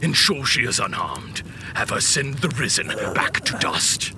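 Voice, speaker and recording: A man's voice: mid-range voice, big pitch swings, unhurried, background noise. A man playing a proud, wrathful Titan warlord in a fantasy audio drama, with an imperious, commanding manner. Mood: commanding, impatient.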